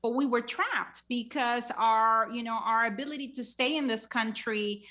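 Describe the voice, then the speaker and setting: A woman from Honduras tells her story as a podcast guest, filling her talk with "um" and "you know." Her voice is bright and sits a little high. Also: moderate pace. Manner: many fillers like um and you know. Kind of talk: casual interview storytelling.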